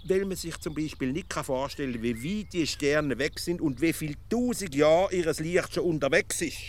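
An actor with a Swiss German accent playing a stubborn, earnest provincial amateur philosopher in a comic radio play, explaining haltingly and repeating his words. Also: on the high side, slight background noise, natural voice. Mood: wondering, enthusiastic. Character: naive know-it-all provincial male philosopher, stubborn, earnest.

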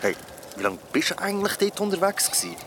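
A man's voice: sits high, big pitch swings, quick pace, background noise. A man acting in an audio drama as a playful, bumbling young amateur magician, switching between showy patter and casual talk. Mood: curious, teasing. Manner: showy mock-formal patter slipping into casual cursing.

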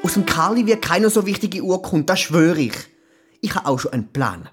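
A woman's voice: on the low side, big pitch swings, moderate pace, faint music behind. A woman playing an earnest, stubborn eleven-year-old medieval peasant boy with a Swiss German accent in a children's radio play. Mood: determined. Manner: indignant, determined.

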